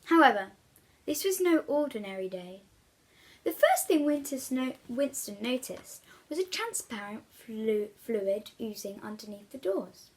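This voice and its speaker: A woman reading a children's story aloud with expressive, dramatic emphasis. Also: a little high, measured pace. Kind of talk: animated read-aloud storytelling for young children.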